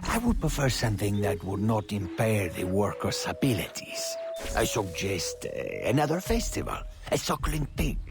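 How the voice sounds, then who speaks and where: An actor playing a deferential, calculating overseer in a game cutscene, polite and measured with quiet threat. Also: male voice, fairly low pitch, sing-song, music in the background. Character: deferential male henchman, calculating camp overseer. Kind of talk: dramatic video game cutscene acting.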